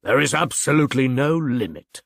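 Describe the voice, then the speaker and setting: A man voicing an elderly, supercilious gentleman in an audiobook, languid and dryly witty with ornate phrasing. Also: medium pitch, lively intonation, normal speed, gravelly, dry recording, clean studio sound. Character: elderly, suave, supercilious male fiancé.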